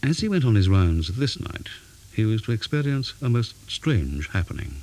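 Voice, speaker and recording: Deep, mellow man reading a ghost story. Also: very animated, poor audio. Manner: measured, dramatic storytelling with pauses. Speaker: story reader. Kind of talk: scripted narration of a ghost story. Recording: faint background hiss.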